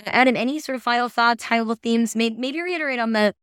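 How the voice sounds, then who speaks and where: A woman's voice: on the high side, quick pace, fairly bright tone, smooth, no echo, studio quality. A woman co-hosting a podcast, chatting casually through a review in an agreeable way. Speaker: podcast co-host.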